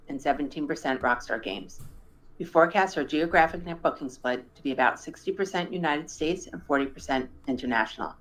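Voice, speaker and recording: Female executive, notably deep voice, delivering prepared financial remarks in a measured, formal read. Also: a bit bright, rough recording. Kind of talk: scripted earnings-call presentation.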